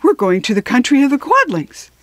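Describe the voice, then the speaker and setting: A woman's voice: high-pitched, big pitch swings, brisk, no echo, pitched above the performer's own voice. A woman voicing a living scarecrow in a storybook, polite but determined in manner. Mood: questioning, insistent.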